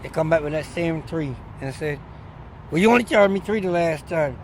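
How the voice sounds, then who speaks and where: A middle-aged man from Barbados, a former barber, telling stories in an animated, emphatic way during a casual outdoor interview by a street. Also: a little high, moderate pace. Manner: animated storyteller, loud emphatic bursts. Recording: faint street noise outdoors.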